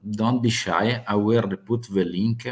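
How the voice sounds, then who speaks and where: A man's voice: lowish voice, slow pace. A man from Italy with a strong Italian accent, hosting a casual live chat about world affairs. He speaks in English with many fillers and restarts. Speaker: host of live geopolitics chat. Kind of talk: casual live-stream talk.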